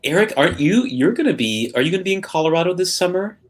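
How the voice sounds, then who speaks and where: A man hosting a casual group chat, speaking haltingly and often repeating and rephrasing his words. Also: high voice, lively intonation. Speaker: host, opera maestro.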